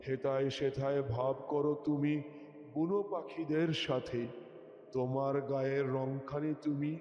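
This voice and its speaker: A man's voice: mid-range voice, level tone, unhurried, mellow. A man reciting a poem expressively, as a poetry reciter does, over music. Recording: dull, echoey with long reverb.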